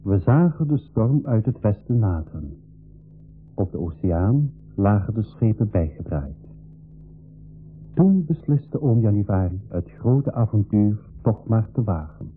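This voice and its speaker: An actor playing an eager young adventurer who narrates and acts in an earnest, expressive radio play. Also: male voice, on the low side, unhurried, faint music behind. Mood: solemn storytelling. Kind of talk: dramatic storytelling in radio play. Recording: dull old radio play recording.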